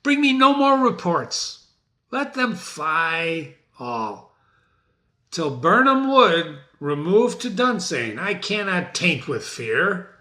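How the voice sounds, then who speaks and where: A man's voice: high-pitched, sing-song, slow, full character voice. Man acting a defiant tyrant king, swooping dramatically. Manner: commanding, dramatic, with sudden shouts. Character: defiant, raging, world-weary Scottish tyrant king. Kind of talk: dramatic reading of Shakespearean verse.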